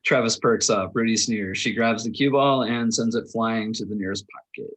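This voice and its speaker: A man with a husky voice narrating a script's stage directions at a table read. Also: on the low side, fairly even intonation, moderate pace, fairly bright tone, low-quality recording.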